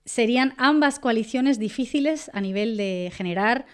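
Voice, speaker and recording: Clear-voiced Spanish woman explaining in detail. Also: on the high side, animated, normal speed. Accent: Castilian Spanish. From Spain, La Mancha. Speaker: guest journalist and political analyst. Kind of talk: conversational podcast interview, explanatory.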